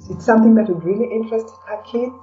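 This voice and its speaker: A woman with a soft-edged, mellow tone, recorded dully and at some distance from the microphone. She talks as a guest in a casual interview, feeling out her thoughts as she goes and often tacking on "you know". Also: fairly high pitch, very fast, slight background noise. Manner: hesitant, thinking aloud, often adds "you know". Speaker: podcast guest, blogger and podcaster. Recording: dull, lo-fi, distant from the mic.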